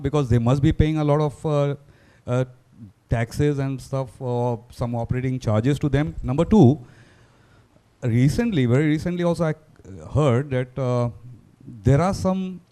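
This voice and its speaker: Hesitant man with a mellow voice. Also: on the high side. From India. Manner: hesitant, many "uh" pauses. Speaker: audience member asking a question. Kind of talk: audience question at a panel.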